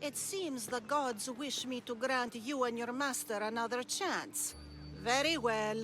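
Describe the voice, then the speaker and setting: An actress playing an imperious, menacing priestess in a video game, pompous and commanding. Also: female voice, fairly high pitch, a little slow, fairly bright tone. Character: imperious, menacing Greek priestess, haughty and threatening. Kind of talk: dramatic video game cutscene acting.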